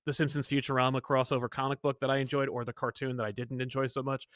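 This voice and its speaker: A man's voice: mid-range voice, level tone, fast talker, fairly bright tone, dry recording, clean studio sound. A man co-hosting a casual pop culture chat, animatedly telling stories and acting out quotes. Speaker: podcast co-host.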